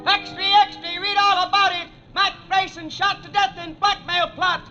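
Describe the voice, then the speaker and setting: An actor playing a newspaper boy loudly hawking papers on the street in 1940s radio style. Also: very high voice, steady tone, normal speed, exaggerated voice. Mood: hawking, eager. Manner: loud hawking. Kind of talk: 1940s radio newsboy cry. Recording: muffled old radio recording, music behind.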